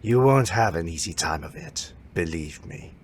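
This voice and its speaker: A man playing a stern older martial arts master in an audio drama, measured and authoritative as he instructs. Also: low-pitched, lively intonation, a little hiss or hum.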